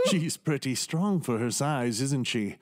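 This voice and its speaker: A man acting a villainous doctor in a radio play, calm, condescending and smoothly menacing as he schemes. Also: mid-range voice, slow pace. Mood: smug, amused.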